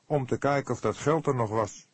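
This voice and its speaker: A man's voice: mid-range voice, breathy edge, dry recording, pitched above the performer's own voice, lightly acted. Man als gespannen jonge verdachte, hese stem. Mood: agitated, explaining. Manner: halting, pleading, earnest. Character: anxious young male suspect, defensive and emotional. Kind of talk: audiobook character dialogue.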